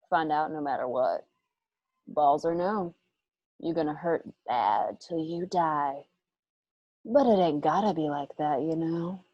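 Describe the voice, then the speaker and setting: A woman's voice: sits low, no echo, dull audio. An American woman playing a vengeful, coolly cruel outlaw in a Western radio drama, taunting with folksy threats.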